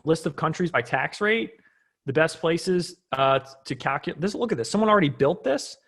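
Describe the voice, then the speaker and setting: A man with a high-pitched voice walks through an on-screen tutorial in a casual, unscripted way. He talks like a coach, conversational and often checking in with short tag questions. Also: expressive, normal speed, a bit bright. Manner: conversational, frequently checking in with "right?". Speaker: online business coach presenting a tutorial.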